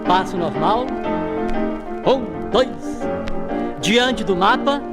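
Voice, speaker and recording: A man's voice: high-pitched, animated, drawn-out words, long reverb tail. A Brazilian man leading vintage radio gymnastics with formal, clipped, commanding instructions and counts. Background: piano music.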